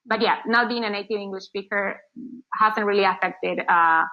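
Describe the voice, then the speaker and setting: A woman's voice: mid-range voice, moderate pace, highs cut off. A woman from Argentina, not a native English speaker, giving casual interview answers as an agency founder, rambling with many fillers and restarts. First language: Spanish.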